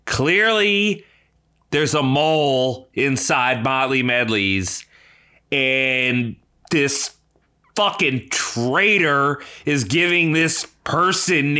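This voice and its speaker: A man's voice: medium pitch, sharp tone. A man playing an indignant, paranoid podcast host, ranting profanely and melodramatically in a scripted comedy podcast. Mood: outraged disbelief. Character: indignant, paranoid male podcast host, foul-mouthed and exasperated.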